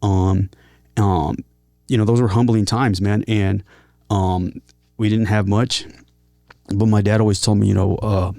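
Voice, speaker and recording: Low-voiced man, halting and earnest. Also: a little mellow, no room sound, studio quality. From San Diego, California. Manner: halting, frequent repeated words, earnest. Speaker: interview guest, talkbox musician and rapper. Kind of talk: casual personal storytelling.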